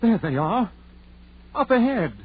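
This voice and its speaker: Scared superstitious country sidekick, old radio horror, jumpy pitch, kinda slow, tinny old recording. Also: male voice, very animated, a little hiss or hum, full character voice. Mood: alarmed. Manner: folksy, hesitant, stammering. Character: timid, superstitious country sidekick, easily spooked.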